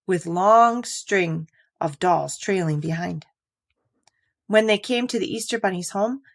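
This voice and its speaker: A woman narrating a children's story from a book in an expressive, deliberate read-aloud manner. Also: lowish voice, gravelly, breathy edge. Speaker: storybook reader.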